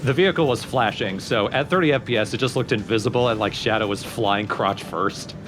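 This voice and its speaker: A man's voice: lowish voice, fairly quick, noisy background. A man giving casual, explanatory commentary on a video game. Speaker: let's play commentator. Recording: game music behind the voice. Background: loud video game music.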